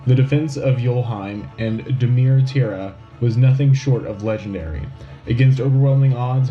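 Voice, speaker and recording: A man playing a solemn, patriotic wartime radio news anchor in a scripted dramatic newscast, with a grave, rousing, measured delivery. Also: mid-range voice, dull audio, natural voice. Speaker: radio host.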